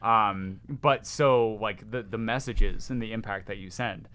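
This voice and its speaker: A man with a loud, resonant voice, talking excitedly as a podcast guest, rambling with many restarts. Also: lowish voice, measured pace, clear, resonant tone. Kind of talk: casual chat.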